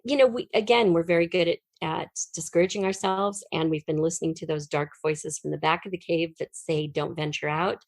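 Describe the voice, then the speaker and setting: A woman's voice: deep voice, fairly even intonation, a little fast, few pauses, no echo. A woman speaking as an interview guest, explaining things conversationally like a storyteller thinking aloud. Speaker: interview guest, fiction writer.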